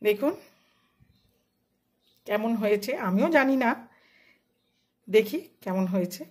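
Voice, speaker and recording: A woman's voice: mid-range voice, slow, a little mellow. A woman, a home cook narrating a recipe step by step in plain, short, casual phrases. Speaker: home cook hosting a cooking channel.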